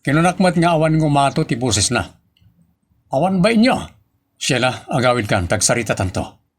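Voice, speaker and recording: A man's voice: mid-range voice, sing-song, moderate pace. A man with a Filipino accent playing a stern, authoritative office boss who scolds his staff in a radio-style drama. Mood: firm, commanding. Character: stern male office boss, commanding and exasperated.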